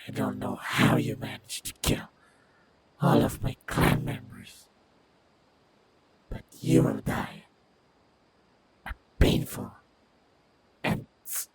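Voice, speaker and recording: Slow, gravelly feral vampire monster. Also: male voice, lowish voice, dry recording, exaggerated voice. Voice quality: growling, gravelly, breathy rasp. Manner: halting, stuttering threats in broken phrases. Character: brutal feral vampire monster clan leader, vengeful, menacing. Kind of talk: dramatic fantasy audio roleplay villain.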